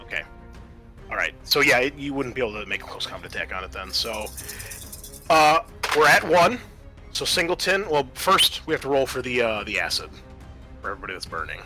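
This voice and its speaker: Bright-voiced male game master. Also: medium pitch, very animated, fairly quick, faint music behind. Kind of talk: running a tabletop roleplaying game, improvised rulings.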